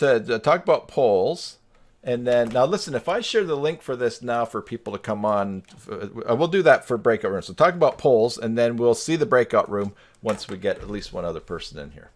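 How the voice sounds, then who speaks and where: A man's voice: mid-range voice, very animated, moderate pace. A man hosting a casual live-stream chat over a video call, teasing with dry humour.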